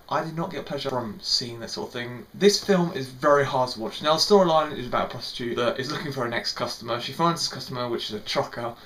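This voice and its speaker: A man's voice: medium pitch, fairly bright tone. A young man speaks casually into his camera, reviewing a film. His voice sits in a middle range, and his talk wanders, with repeated words and fillers. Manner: rambling, repeats words, lots of fillers like "sort of".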